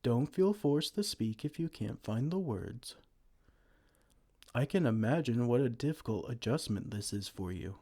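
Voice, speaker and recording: Here is a man playing a kind, gentle giant who looks after a tiny human, his voice soft and soothing with tender pet names. His tone is mellow and dark. He speaks slowly, and his pitch rises and falls warmly in this ASMR roleplay. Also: lively intonation.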